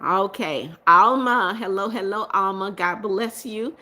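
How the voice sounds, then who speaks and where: A woman's voice: medium pitch, measured pace. Brief: middle-aged woman host, chatty livestream, reads and answers viewer comments. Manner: reads comments aloud and answers viewers warmly, laughs often. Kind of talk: casual livestream chat reading viewer comments.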